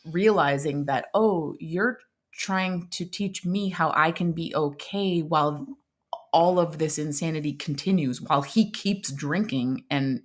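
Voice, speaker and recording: Casting: low-pitched woman, thirties, earnest podcast co-host, halting restarts. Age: 30s. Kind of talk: casual recovery podcast chat.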